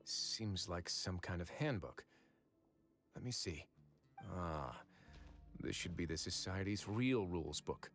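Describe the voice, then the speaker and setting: A man voicing a composed prison-fortress administrator in a video game, speaking in a measured, even way. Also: low voice, soft background music, full character voice. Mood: explaining.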